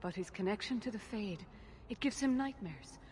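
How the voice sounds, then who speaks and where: A woman's voice: mid-range voice, animated, normal speed, darkish tone, a little hiss or hum, close to an everyday voice. An actress playing an anxious elven mother who pleads earnestly for help finding her son, in a game cutscene.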